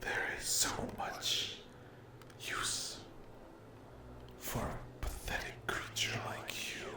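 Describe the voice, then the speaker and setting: A man voicing a cruel, mocking sleep paralysis demon in a breathy ASMR roleplay, taunting and condescending in drawn-out phrases. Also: close to an everyday voice. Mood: taunting, contemptuous. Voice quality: breathy whisper. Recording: roomy echo.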